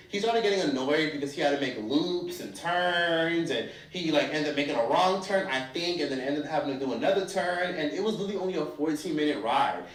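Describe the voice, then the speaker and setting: Blunt animated man, roomy and distant. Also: on the high side. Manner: blunt, animated, acts out accents of strangers. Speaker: travel vlogger. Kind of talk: casual travel advice monologue.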